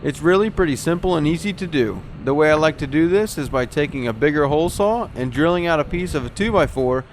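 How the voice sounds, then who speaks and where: A man's voice: on the high side, expressive, fast talker, hardly any pauses, a little hiss or hum. A man narrating a step-by-step boat repair how-to as the show's technician host. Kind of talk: explanatory how-to voice-over narration.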